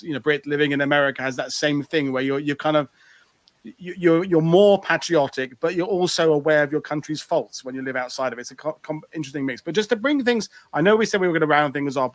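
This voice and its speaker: A man's voice: fairly high pitch, dry recording. A British man hosting a casual online panel discussion, chatty and rambling, with many restarts. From Britain. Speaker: host. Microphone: video call.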